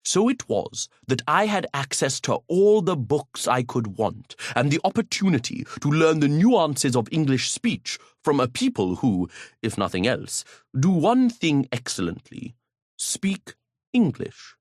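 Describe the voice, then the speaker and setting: A man's voice: fairly high pitch, big pitch swings, a little slow, no echo, studio quality. A man narrating an audiobook in the first person, pompous and grandiloquent, with an occasional stutter.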